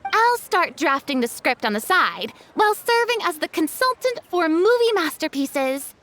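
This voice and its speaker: A woman voicing a flamboyant, excitable young film director in a video game, with a dramatic, effusive and grandiose manner. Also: extremely high pitch, moderate pace, full character voice. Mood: pleased, eager.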